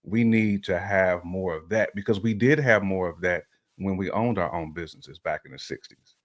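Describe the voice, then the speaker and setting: A man's voice: deep voice, normal speed. A man giving his opinions in casual conversation, emphatic and repeating phrases to drive a point home. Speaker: podcast guest panelist giving opinions.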